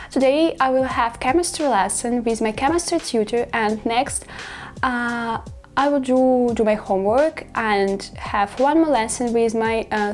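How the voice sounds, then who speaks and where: A teenage girl with a Russian accent, her first language Russian, chatting in a casual home vlog in her bedroom, halting as she searches for English words. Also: fairly high pitch, darkish tone. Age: teens. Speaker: vlogger.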